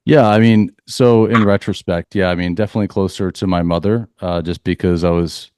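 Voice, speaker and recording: A man from Central Florida, a leadership coach for athletes, talking calmly and earnestly in a reflective podcast interview. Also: low voice, a little fast, a little hiss or hum. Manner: calm, earnest, spiritual phrasing.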